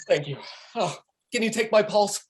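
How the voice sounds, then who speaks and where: A man playing an excitable, paranoid and spaced-out friend in a comic play reading over a video call, with theatrical delivery. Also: high voice, normal speed, slight background noise, natural voice. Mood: needy, complaining. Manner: theatrical, wildly swooping delivery. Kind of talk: comic stage script table read. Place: home, online video call.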